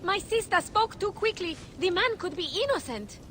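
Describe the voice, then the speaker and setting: Female game role: young temple priestess, earnest, anxious, very high pitch, faint rumble behind. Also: expressive, moderate pace, fairly bright tone. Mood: hesitant. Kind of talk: dramatic video game acting.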